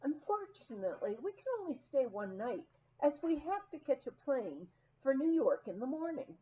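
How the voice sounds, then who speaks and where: A woman reading a humorous memoir aloud as scripted narration, in an expressive, storytelling manner. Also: high voice, dull audio, a little hiss or hum. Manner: expressive, unhurried storytelling with acted quotes. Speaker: audiobook reader.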